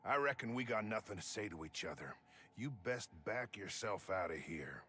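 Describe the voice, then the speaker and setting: Gruff-tempered company man from a space western game, sharp forceful tone, loyal and wary. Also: on the low side, animated, normal speed, a little hiss or hum. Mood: angry, threatening. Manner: folksy, forceful. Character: angry, wary frontier company foreman, man, stubborn and loyal. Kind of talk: dramatic video game dialogue.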